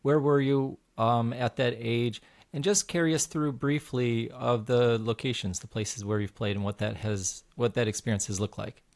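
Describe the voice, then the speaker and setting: Male podcast host, mid pitch, measured interviewer. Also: steady tone, darkish tone. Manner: measured, inviting questions.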